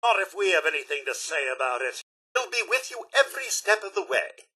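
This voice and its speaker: A fussy British robot butler for a video game. The voice is high and sharp with a raspy edge. He speaks with formal, theatrical politeness, his pitch swinging widely, ever ready to moralize. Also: very animated, a little fast, exaggerated voice. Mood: polite, earnest. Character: fussy, proper male robot butler, polite, easily indignant. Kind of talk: video game character voice lines.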